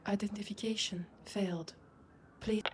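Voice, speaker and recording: A woman's voice: medium pitch, fairly even intonation, moderate pace, slight background noise, close to an everyday voice. A woman voicing an impersonal, formal automated security system in a sci-fi audio drama.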